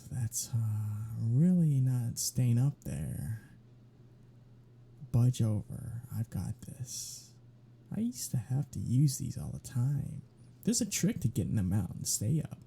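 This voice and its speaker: A man playing a sly, flirtatious vampire in an ASMR roleplay, with a sardonic, teasing drawl that often drops to breathy murmurs. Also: mid-range voice, sing-song, takes it slowly, soft background music, exaggerated voice. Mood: casual, wry. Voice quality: husky, breathy, dark. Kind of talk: ASMR roleplay audio drama.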